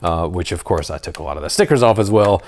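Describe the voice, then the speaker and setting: A man's voice: deep voice, lively intonation, fast, a little mellow. A man presenting a hobby video in a casual, nostalgic show-and-tell style, rambling and thinking aloud as he goes. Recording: clear background noise with clicks.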